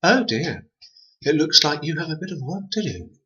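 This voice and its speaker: A man voicing a pair of cheeky, mocking spirit twins in a high, teasing voice. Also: sing-song, moderate pace, sharp tone. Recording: dull lo-fi recording.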